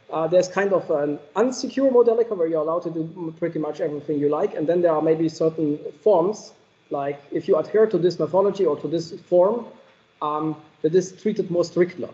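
A man's voice: fairly high pitch, dark tone, dull audio. A man answering audience questions after a technical keynote, thoughtful and hesitant, with many fillers.

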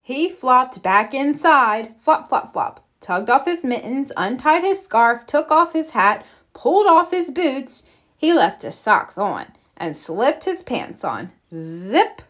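Female storyteller, unhurried, very lively intonation, playful sound words, children's picture-book narration. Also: sits high. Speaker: read-aloud storyteller.

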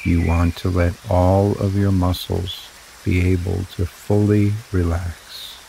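A man guiding a relaxation meditation in slow, soothing, drawn-out phrases. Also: sits low, level tone, creaky, dark tone, a little hiss or hum, poor audio. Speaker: meditation guide. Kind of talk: guided meditation narration.

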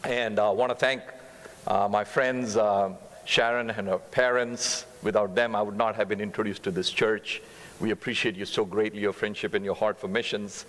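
A middle-aged man from India with an Indian accent, preaching as a guest missionary speaker in a church, fast-talking and earnest with touches of humor. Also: fairly low pitch, steady tone, fairly bright tone. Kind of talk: sermon. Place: church stage, large sanctuary. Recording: long reverb of a church hall.

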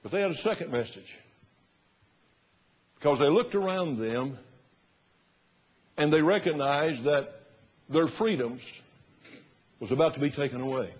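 Male pastor, 60s, husky, emphatic pulpit oratory, muffled recording in a reverberant sanctuary. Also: animated, unhurried, long pauses. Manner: emphatic, oratorical, rising to loud bursts and dropping low. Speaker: pastor preaching. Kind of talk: fiery sermon. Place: large church sanctuary, at the pulpit platform.

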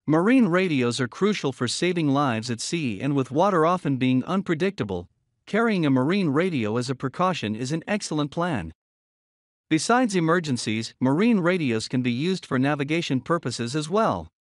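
Lively, dry-voiced male narrator. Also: fairly high pitch, moderate pace, dry recording. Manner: reads a list of numbered points. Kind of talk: scripted voice-over narration.

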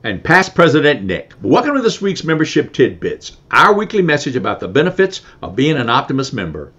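An older man with a mid-pitched voice reads promotional lines in an earnest, plain way. The video-call sound is a little dull and lo-fi, recorded in an indoor office. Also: huge pitch swings, a little fast, fairly bright tone. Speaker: past club president. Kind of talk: scripted promotional dialogue. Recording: slightly dull lo-fi audio.

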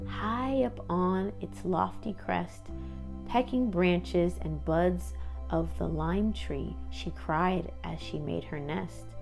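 A woman's voice: lowish voice, slow pace, gravelly, a little mellow, faint music behind. A woman reading a children's picture book aloud expressively, with sing-song rhymes and informal asides. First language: English. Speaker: read-aloud host.

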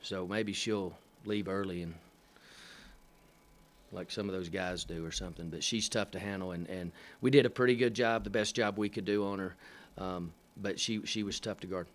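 A middle-aged man, a head coach, answering press questions after a game at a table mic, halting with many fillers and dry, matter-of-fact humor. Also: low-pitched, fairly even intonation. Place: press room in front of a sponsor backdrop. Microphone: table microphone.

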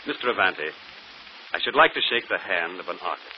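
A man's voice: deep voice, lively intonation, quick pace. A man playing a brilliant, self-assured detective in 1940s radio drama style, articulate and theatrical. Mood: confident, explaining. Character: brilliant, self-assured male detective explaining his solution. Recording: muffled, noisy old radio broadcast.

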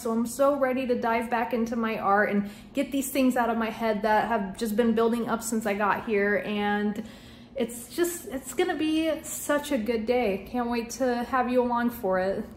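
A young adult woman talking casually and excitedly to camera as a vlogger, in informal, chatty speech. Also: on the high side, a little hiss or hum.

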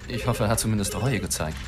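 A man's voice: on the low side, lightly acted. An actor playing a flippant, evasive newspaper reporter and family man in a wry radio drama style. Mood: wry, casual. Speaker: actor, lead and narrator. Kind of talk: radio drama dialogue and first-person narration.

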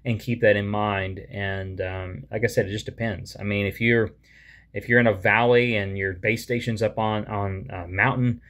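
A man in his thirties hosting his own radio video, explaining things in a relaxed, casual way to the camera from a small room, with plenty of ums and uhs. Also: on the low side, moderate pace. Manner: easygoing, with frequent fillers. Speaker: radio hobbyist host. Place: small indoor room with a wall map.

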